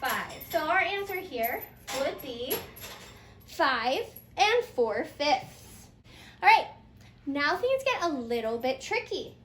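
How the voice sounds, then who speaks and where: A woman teacher with a very high voice leads a playful, storybook-style lesson at an unhurried pace. She is encouraging, asking sing-song questions and repeating her pupil's answers. The room is echoey, with faint noise behind her. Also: big pitch swings, fairly bright tone. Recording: roomy echo, faint background noise.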